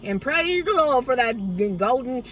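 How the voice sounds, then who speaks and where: A woman's voice: sits high, animated, normal speed. A woman putting on a preachy, gluttonous Southern churchgoing woman with an exaggerated drawl, sarcastic and folksy in a satirical monologue. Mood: mock-pious. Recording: distant mic, clear background noise.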